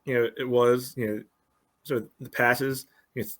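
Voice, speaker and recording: A man's voice: mid-range voice, steady tone, unhurried, fairly bright tone. A man co-hosting a soccer podcast, giving casual game analysis peppered with filler phrases. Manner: peppers talk with "you know".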